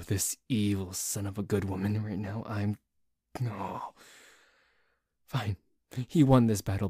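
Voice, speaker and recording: A man playing a dorky, grumpy, possessive but secretly tender boyfriend in an ASMR roleplay, grumbling haltingly with sighs. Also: lowish voice, warm tone, husky, dry recording, clean studio sound.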